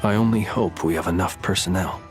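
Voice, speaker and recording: An actor voicing a calm, teasing male lighthouse keeper in a game, speaking with dry humor and an unhurried manner. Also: low-pitched, faint music behind, close to an everyday voice. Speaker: game character.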